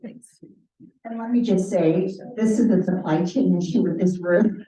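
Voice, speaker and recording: A woman's voice: on the low side, a little slow, warm tone. A middle-aged woman mayor giving a chatty, upbeat welcome at a community meeting, laughing easily. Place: city hall community room. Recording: echoey room, far from the mic, dull.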